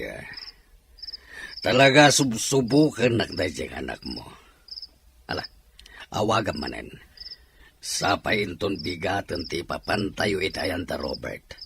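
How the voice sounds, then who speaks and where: An actor playing a stern, exasperated middle-aged father in a radio drama, grumbling and commanding in a gravelly voice. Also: low-pitched, very animated, takes it slowly, long pauses, noisy background, exaggerated voice. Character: stern, exasperated father determined to marry off his daughter.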